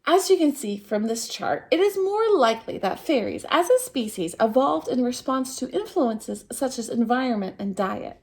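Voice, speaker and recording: A woman reading a children's picture book aloud as a storytime host, expressively, with theatrical, drawn-out emphasis on key words. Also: a little high, very animated, a little mellow. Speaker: storytime reader at a dance centre.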